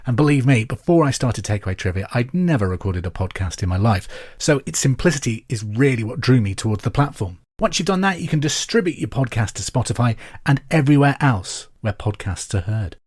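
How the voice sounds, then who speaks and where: A man presenting a podcast pub quiz in a scripted, clear and deliberate way. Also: mid-range voice, animated, a little mellow. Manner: repeats questions clearly and deliberately.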